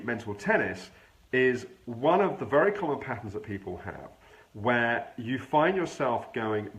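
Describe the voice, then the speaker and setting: A man who has a cold, sounding nasal and gravelly, explaining a thought pattern in a measured, informal live stream. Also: medium pitch, rough recording. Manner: measured, explains with everyday examples. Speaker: health clinic practitioner hosting a live stream.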